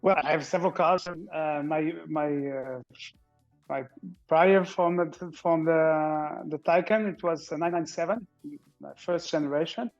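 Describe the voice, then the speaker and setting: A man's voice: a little high, a little slow. A middle-aged man from Portugal, a guest in a casual interview, speaking hesitantly with many fillers and restarts. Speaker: interview guest, car owner. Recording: dull lo-fi audio with faint music.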